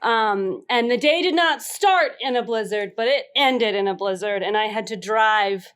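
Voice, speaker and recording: A woman telling a casual story as a contestant on a panel game, halting and giggly. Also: fairly high pitch, fairly bright tone, clean tone.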